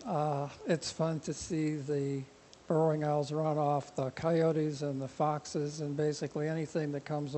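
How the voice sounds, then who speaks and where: A man giving an informal public talk with a quiet voice, rambling and joking as he goes, his nose running from the cold air, speaking into a microphone. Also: on the high side, poor audio. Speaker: presenter at a conservation society talk.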